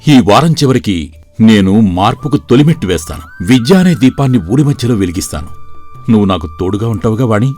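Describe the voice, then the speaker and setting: Guy acting young village man in a moral story, kinda low voice, earnest n persuasive, music behind. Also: lively intonation, a little fast, darkish tone, natural voice. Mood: earnest resolve. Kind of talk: acted dialogue in a moral story. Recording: music bed under the voice.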